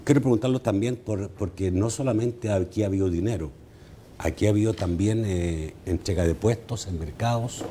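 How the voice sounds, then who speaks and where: A man from El Salvador moderating a televised debate, speaking haltingly with many fillers. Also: fairly low pitch, dark tone, slight background noise. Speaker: debate show host.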